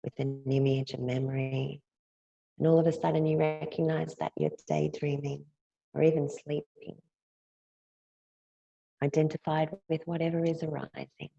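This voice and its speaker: A woman teacher leading a guided yoga nidra meditation in a gentle, soothing, unhurried way, leaving long pauses between phrases. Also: sits low, animated, vocal fry, breathy edge, dry recording, dull audio.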